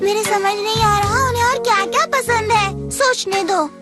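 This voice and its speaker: A woman playing a spirited, impulsive young teenage girl in a Hindi-dubbed cartoon, expressive, eager and pleading. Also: extremely high pitch, normal speed, over loud music. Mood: thoughtful, keen.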